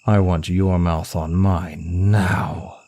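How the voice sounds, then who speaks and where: A man playing a suave, smug male demon of lust in an ASMR roleplay, with a teasing, deliberate, seductive delivery. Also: low voice, flat delivery, vocal fry, mellow, heavily acted. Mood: commanding, lustful.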